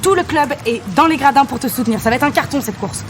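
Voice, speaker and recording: Fast, high-voiced woman coach. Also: animated, background noise. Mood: urgent, encouraging. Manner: urging, reassuring. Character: brisk, encouraging woman athletics coach, loyal and pragmatic. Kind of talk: audio drama dialogue.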